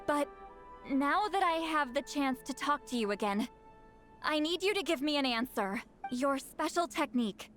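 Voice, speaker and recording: A woman's voice: sits high, a bit bright. An actress playing a proud, prickly, youthful-sounding shaman woman in a video game, insistent and dryly sardonic. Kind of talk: video game character acting.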